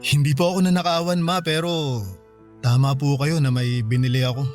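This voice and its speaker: Actor as a higher-voiced, earnest young Filipino man. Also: steady tone, normal speed, natural voice. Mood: weary, apologetic. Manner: earnest, hesitant, apologetic. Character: patient, put-upon young boyfriend and dutiful son. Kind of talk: Filipino radio drama acting. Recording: music behind the voice.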